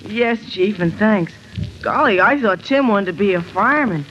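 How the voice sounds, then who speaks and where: A young performer playing a defensive boy of about fourteen in an old-time radio drama, boyish and halting. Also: mid-range voice, lively intonation, lightly acted. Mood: defensive, flustered. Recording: muffled, lightly noisy vintage radio recording.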